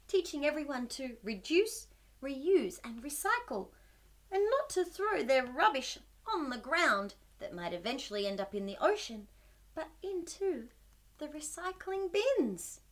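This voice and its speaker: A woman hosting a children's storytime, reading a picture book in an animated way and putting questions to her young listeners with exaggerated wonder. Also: sits high.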